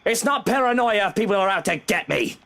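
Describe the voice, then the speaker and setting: A man voicing an anxious, proud, irritable gentleman from a horror visual novel, with formal, emphatic speech. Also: sits high, animated, fairly quick, vocal fry, voice pushed up, heavily acted. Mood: agitated, indignant.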